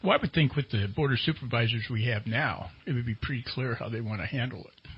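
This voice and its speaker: A man's voice: mid-range voice, animated, fast, husky. A man hosting a casual radio interview, asking plain-spoken questions in a conversational way. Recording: dull, lo-fi radio interview audio.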